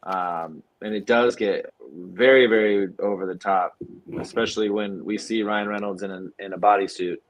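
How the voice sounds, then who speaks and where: A man hosting a film podcast in a casual, halting way, thinking aloud as he goes. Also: fairly low pitch, steady tone, low-quality recording.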